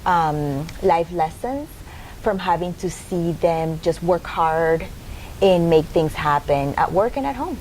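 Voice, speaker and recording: A woman's voice: lowish voice, animated, a little mellow, a little hiss or hum, rough recording. Thoughtful woman in her forties. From Venezuela. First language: Spanish. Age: 40s. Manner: thoughtful, measured, many ums and "and stuff like that". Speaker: guest. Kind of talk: casual interview.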